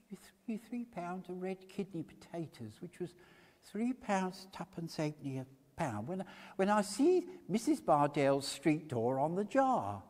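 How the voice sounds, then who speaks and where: A man voicing a garrulous, indignant working-class Victorian woman witness, flustered, halting and primly self-righteous, in a stage reading. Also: high-pitched, voice pushed up, full character voice. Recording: reverberant church hall.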